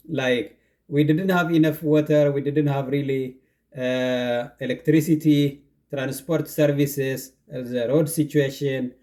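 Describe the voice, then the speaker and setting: An Ethiopian-accented man talks earnestly about his work, stacking up points one after another. His voice sits a little high for a man, but it stays mellow and soft. He is a university instructor giving a relaxed, explanatory talk. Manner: earnest, builds long lists of points. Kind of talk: casual explanatory monologue.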